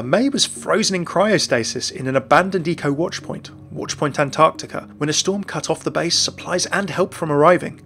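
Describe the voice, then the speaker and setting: Fast-talking man narrating game lore. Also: a little high, a bit bright. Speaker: gaming lore video host. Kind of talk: scripted narration.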